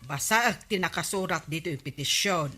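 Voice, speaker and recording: A nagging village captain's wife in an Ilocano-accented radio comedy. Her voice is sharp and animated, insistent and exasperated with her husband. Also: low-pitched, moderate pace, husky, soft background music, heavily acted.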